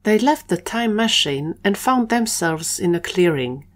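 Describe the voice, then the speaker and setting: A woman's voice: mid-range voice, lively intonation, measured pace. A woman reading scripted narration for an audio adventure story.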